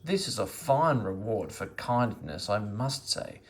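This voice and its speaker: A man's voice: lowish voice, expressive, a little slow. A man voicing a well-meaning, bumbling and easily flustered fellow in a children's storybook. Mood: indignant. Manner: fussy, eager to help. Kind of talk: children's storybook read-aloud.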